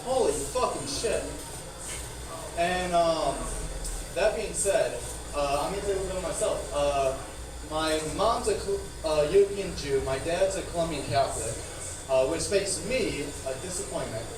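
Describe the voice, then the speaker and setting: A male stand-up comic with a speech impediment on his R's talks in a fairly high, animated voice. He rambles and swears in a self-deprecating way. The recording is echoey and distant. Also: normal speed. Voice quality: speech impediment with soft R sounds. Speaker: stand-up comedian. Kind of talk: stand-up comedy at an open mic. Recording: echoey, noisy room, far from mic.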